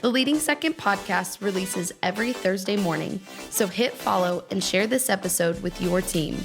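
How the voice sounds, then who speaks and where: A woman reads a scripted podcast opening in an upbeat, promotional tone. Her voice sits in a middle pitch. Faint music plays underneath. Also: normal speed. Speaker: podcast team member, announcer.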